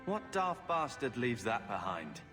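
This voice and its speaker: A man's voice: mid-range voice, sing-song, unhurried, big-room sound, over music. An actor playing a resentful, sarcastic young man, a brooding younger brother in a video game. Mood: bitter, resigned. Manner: sullen, sarcastic.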